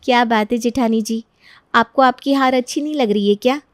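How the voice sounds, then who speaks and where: A woman's voice: fairly high pitch, brisk, dry recording, clean studio sound, lightly acted. A woman voicing a sly young bride in a family storybook drama, coy and mock-innocent. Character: sweetly teasing young bride, sly younger sister-in-law. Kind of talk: melodramatic storybook acting.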